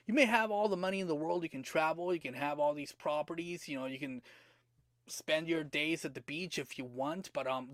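Slightly hoarse male podcast host, high-ish voice, dry close sound, earnest and questioning. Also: fairly bright tone, dry recording. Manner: earnest, repeats rhetorical questions to the listener. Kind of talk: motivational podcast monologue.

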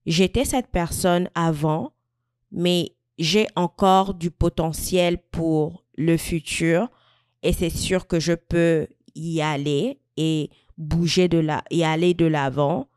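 A woman in her mid-twenties from Equatorial Guinea, talking thoughtfully to camera in a personal vlog, with frequent pauses and restarts. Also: on the low side, fairly even intonation, a little mellow, clean tone, no room sound, studio quality. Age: 20s. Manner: thoughtful, searching, many fillers and restarts. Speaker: vlogger. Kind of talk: reflective personal talk to camera.

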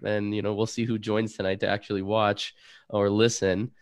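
Halting low-voiced male podcast host. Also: little pitch movement, dry recording, clean studio sound. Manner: halting, many fillers and restarts. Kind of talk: casual live-stream chat.

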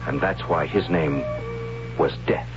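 Gentle young narrator-hero; wry and hesitant, music behind, muffled vintage radio. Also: male voice, rumbling low, unhurried. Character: timid gentle young tribesman narrating his story. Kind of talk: 1970s radio drama narration. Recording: dull old radio broadcast with music.

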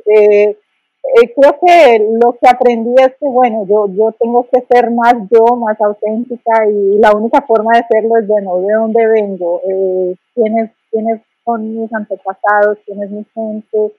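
A woman's voice: a little high, steady tone, unhurried, breathy edge, no echo, dull audio. A middle-aged woman from Colombia with a Colombian accent, telling her story as a podcast guest, thoughtful with many restarts and fillers.

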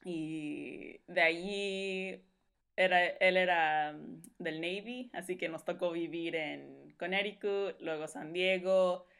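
Low-voiced woman guest. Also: slow pace, gravelly, fairly bright tone, smooth. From Mexico. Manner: rambling, many fillers. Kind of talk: casual conversational storytelling.

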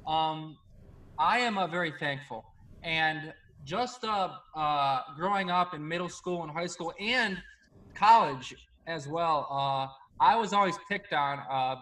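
A man's voice: fairly high pitch, slow pace, bright, forceful tone, low-quality recording. A young man in his twenties who stutters, speaking hesitantly with many fillers as he hosts a casual chat. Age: 20s. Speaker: podcast host, assistant coach. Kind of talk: casual conversation.

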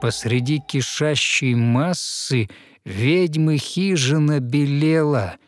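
A man narrating a fairy tale in verse, dramatic and measured, pausing expressively between short phrases. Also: fairly high pitch. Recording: studio narration over music. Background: music.

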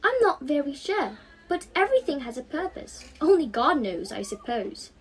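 Teenage girl voicing a kind, earnest schoolgirl, very animated. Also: high-pitched, normal speed, pitched above the performer's own voice, poor audio. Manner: earnest, emphatic, reassuring. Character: kind, devout young schoolgirl in a storybook.

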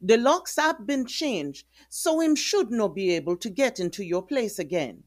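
An actress with a Jamaican accent playing a caring, protective, plain-spoken neighbour in an audio drama. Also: female voice, on the high side, lively intonation, measured pace, no echo, clean studio sound. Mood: concerned, soothing.

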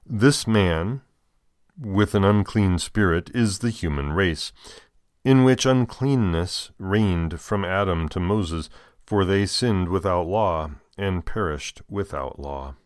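An American man serving as a deacon, reading scripture and adding folksy, deliberate commentary. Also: sits low, dry recording, clean studio sound. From United States.

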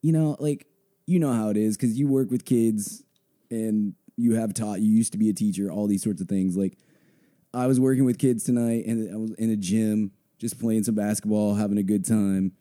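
A young man hosting a casual sports podcast, hoarse from a blown-out voice, rambling with many fillers. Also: fairly low pitch, normal speed, warm tone. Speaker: podcast host.

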